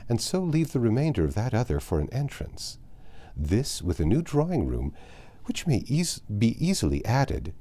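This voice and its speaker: A man's voice: lowish voice, mellow. Man reading as a genteel, chatty mother, very lilting. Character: genteel, optimistic middle-aged mother planning home improvements.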